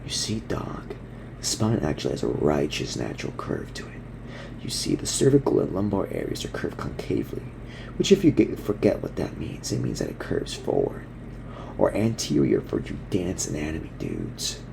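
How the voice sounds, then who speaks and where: A man voicing a laid-back gorilla surfer dude who teaches in slang, in a gravelly, breathy half-whisper for an ASMR parody. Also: extremely low pitch, big pitch swings, moderate pace, noisy background, heavily acted. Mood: laid-back, encouraging. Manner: surfer slang, soothing instructional delivery.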